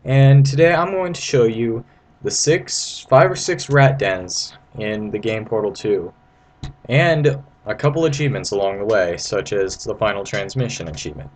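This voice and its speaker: A low-pitched man talks through a video game in a casual, rambling style. He sounds informal and playful, like an amateur creator guiding viewers. The recording is homemade, with some noise behind the voice. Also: expressive, normal speed. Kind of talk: casual gameplay commentary. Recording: noisy amateur home recording.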